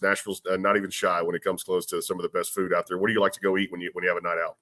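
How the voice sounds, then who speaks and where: Casting: quick, deep-voiced radio host, enthusiastic. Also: male voice, flat delivery, bright, forceful tone. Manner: upbeat, enthusiastic, plugs the show. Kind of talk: radio interview.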